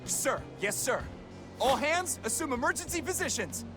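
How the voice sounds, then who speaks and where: An actor playing a loyal, cheeky pirate crewman in a video game, chatty and expressive in an informal, colorful way. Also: extremely high pitch, moderate pace. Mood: alarmed, dutiful. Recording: game music behind the voice.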